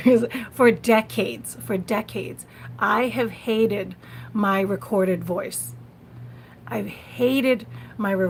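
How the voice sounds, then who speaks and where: A woman hosting a casual live vlog, talking conversationally to viewers and often repeating her phrases, recorded on a phone. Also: fairly high pitch. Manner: conversational, repeats phrases, frequent small laughs. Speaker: live-stream host. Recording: noisy phone recording of a livestream.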